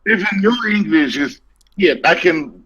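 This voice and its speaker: A man's voice: on the high side, lively intonation, a little slow, fairly bright tone, husky, lo-fi sound. A man whose native language is Romanian, speaking English in casual, argumentative banter on an online call, sweary and mock-indignant. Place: online video hangout.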